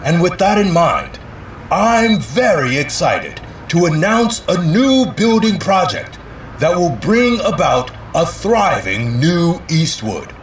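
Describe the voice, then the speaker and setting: A man playing a polished, evasive city mayor in an audio drama, with a measured, rehearsed politician's delivery. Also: fairly high pitch, sing-song, echoey room, a little hiss or hum, poor audio. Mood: upbeat, official.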